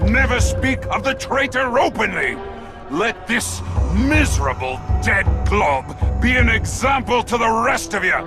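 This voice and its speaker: A bullying orc boss, very high in pitch and brutal. He barks menacingly in a video game style over loud music. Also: very animated, measured pace, full character voice. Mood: angry, threatening. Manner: barking, menacing.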